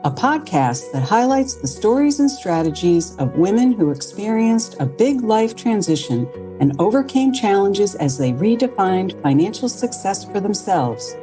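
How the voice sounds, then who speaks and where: A woman's voice: medium pitch, sing-song, a little slow. A woman reading a polished, upbeat scripted podcast introduction. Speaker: show announcer. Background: music.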